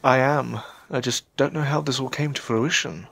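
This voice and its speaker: A man's voice: mid-range voice, steady tone, moderate pace, creaky, a bit bright. A man acting an uneasy, earnest adventurer in an audio drama, speaking hesitantly. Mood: anxious, resolute. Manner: hesitant, anxious. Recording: faint background noise and ambience.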